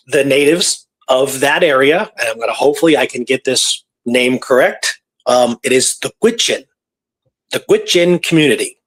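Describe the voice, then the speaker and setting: A man with a husky, mid-pitched voice co-hosts a show. He talks in a casual, storytelling way, somewhat hesitant as he feels for the right words. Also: fairly bright tone, poor audio. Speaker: podcast co-host.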